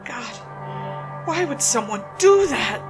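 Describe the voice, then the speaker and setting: A woman's voice: on the high side, very animated, takes it slowly, over music, voice pushed up. A woman voicing a shaken, panicked suspect in a horror story, stammering and tremulous as they plead with police. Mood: dazed, upset. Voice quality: tremulous, breathy gasps. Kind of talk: dramatic horror story reading.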